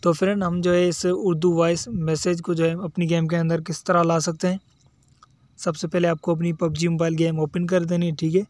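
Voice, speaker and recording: High-pitched man giving a tutorial. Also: little pitch movement, moderate pace, a little mellow, dry recording. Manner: instructional, repetitive verbal tags. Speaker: tutorial video host. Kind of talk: step-by-step mobile game tutorial voice-over.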